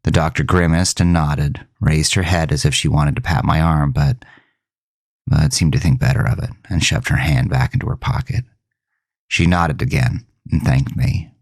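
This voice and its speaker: A man's voice: a bass voice, steady tone, moderate pace, breathy edge, dry recording. A man narrating a first-person horror audio drama in a somber, literary storytelling manner. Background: music.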